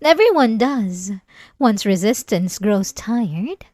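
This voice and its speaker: A woman's voice: medium pitch, animated, slow pace, a little mellow, dry recording, clean studio sound. A woman playing an obsessive, possessive centuries-old vampire in an ASMR roleplay, speaking in a theatrical, silky, menacingly tender way. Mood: coaxing, menacing.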